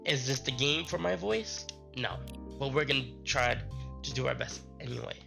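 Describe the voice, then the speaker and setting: A man's voice: medium pitch, vocal fry, over music. Sick man rambling on a stream. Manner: rambling, halting with restarts. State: sick, voice strained. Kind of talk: casual live-stream chat.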